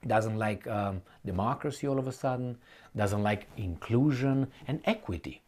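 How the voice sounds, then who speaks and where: A man giving an unscripted, opinionated political commentary, speaking bluntly and colloquially, with halting repetition and rhetorical questions to the listener. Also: lowish voice, expressive, darkish tone. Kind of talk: unscripted opinionated monologue to viewers.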